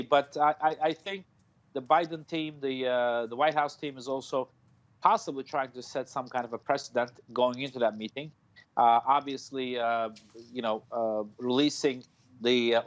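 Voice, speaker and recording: A man in his forties gives his analysis live from a room at home, stopping often with fillers and restarting his sentences. The video call sound is dull and lo-fi, and his voice sits at an average pitch for a man. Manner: halting, many fillers and restarts. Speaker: foreign policy analyst. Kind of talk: live news interview.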